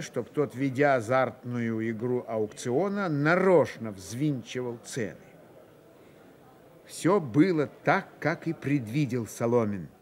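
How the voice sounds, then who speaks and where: A man narrating a novel for radio in a measured, expressive storytelling manner. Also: medium pitch. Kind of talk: dramatised literary narration for radio.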